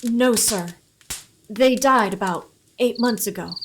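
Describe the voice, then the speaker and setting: Woman playing a high-voiced runaway orphan boy. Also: takes it slowly, slight background noise. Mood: sulky, hurt. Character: orphaned runaway boy, young, hurt, sulky about Christmas. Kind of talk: old-time Western radio play acting.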